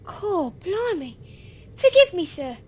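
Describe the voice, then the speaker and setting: Lady doing little street kid, super high voice, earnest and brave, radio play, muffled faintly noisy audio. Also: big pitch swings, slow, full character voice. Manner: earnest, old-fashioned street urchin phrasing. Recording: dull, lo-fi, faintly noisy recording.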